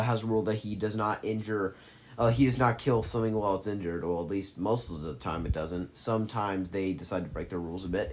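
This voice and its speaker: A man giving casual, effusive commentary on his favorite movies, rambling and correcting himself as he goes. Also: on the low side. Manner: gushing, effusive praise. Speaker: movie list vlogger.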